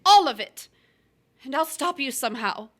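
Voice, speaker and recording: A restless young wife in a noir stage drama, hungry to be somebody. Her voice is high and bright, swinging widely as she pleads and schemes with intense emotion. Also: lively intonation, moderate pace. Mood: bitter, defiant. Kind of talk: live radio-play style crime drama acting.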